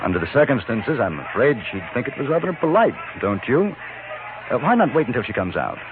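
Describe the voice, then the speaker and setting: A man playing a polite, measured, wry gentleman newspaper reporter in a 1950s radio western. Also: medium pitch, sing-song, noisy background, lightly acted. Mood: courteous. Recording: narrow-band old radio, music behind.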